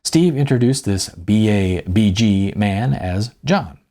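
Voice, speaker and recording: A man's voice: lowish voice, expressive, takes it slowly, dark tone. A middle-aged man narrating an audiobook in the first person, with a wry storytelling manner. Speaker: author reading own audiobook.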